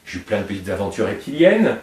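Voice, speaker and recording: A man's voice: lowish voice, very animated, quick pace, a little mellow. A middle-aged man from Réunion Island, a snake breeder, talking informally and at length, piling up details as he explains his animals. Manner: talkative, digressive, piles up details. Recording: roomy sound from a distance.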